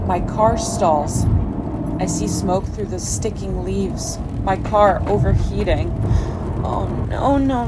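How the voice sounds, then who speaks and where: A woman's voice: medium pitch, heavy background noise, lightly acted. A woman playing a guilt-ridden, desperate young mother, narrating a first-person horror audio play in a breathless, pleading, dramatic way. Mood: anxious, desperate, then panicked pleading. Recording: music behind the voice.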